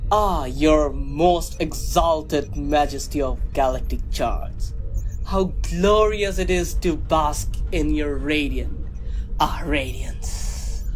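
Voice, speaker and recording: A man playing a pompous, flattering, bumbling starship captain in a grandiose, theatrical way for a sci-fi comedy radio drama. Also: a little high, takes it slowly, music in the background, rough recording. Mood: flattering, evasive.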